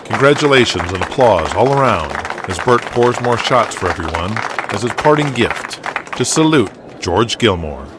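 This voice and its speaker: A man's voice: fairly low pitch, expressive, moderate pace, a loud crowd, very noisy. A man reading dramatic scripted narration for an audio drama, setting the scene in the present tense.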